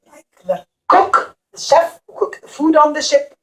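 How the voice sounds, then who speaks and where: A woman's voice: high voice, expressive, unhurried, roomy sound. A woman telling a story to young children as a puppeteer, explaining things simply and with repetition.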